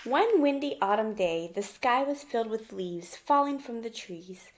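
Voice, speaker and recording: A woman's voice: on the high side, very animated, measured pace. A woman teacher reading a children's picture book aloud and talking it through simply, often putting questions to the young listener. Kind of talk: children's storybook read-aloud and lesson.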